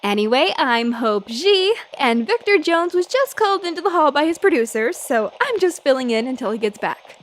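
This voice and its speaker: A woman performs a feisty young newswoman in 1940s radio style. Her voice sits high and swings widely in pitch. She is brash and defiant, and gets flustered easily. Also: big pitch swings, slight background noise. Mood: breezy. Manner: brash, quick to get flustered. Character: feisty young female newspaper reporter, flustered but defiant. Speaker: reporter filling in as radio host. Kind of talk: 1940s radio drama acting.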